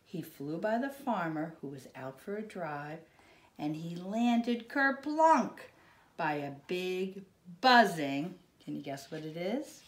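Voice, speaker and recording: A middle-aged American woman reads a children's picture book aloud in a lively, swooping voice. She takes her time, stretching words for drama and dropping into hushed asides. The sound is echoey, from a home room. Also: lowish voice. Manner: slow, drawn-out dramatic emphasis, hushed asides. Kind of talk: expressive children's storybook reading. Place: living room, indoors. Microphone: camera a short distance away.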